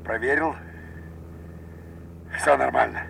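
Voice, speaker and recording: Strained, wounded bomber pilot. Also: male voice, mid-range voice, gravelly, sharp tone, exaggerated voice. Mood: strained, commanding. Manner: strained, halting commands, swinging from cries to whispers. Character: wounded, blinded bomber pilot, a stoic commander fighting pain. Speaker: actor. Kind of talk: Soviet radio war drama acting. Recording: noisy radio play with engine hum.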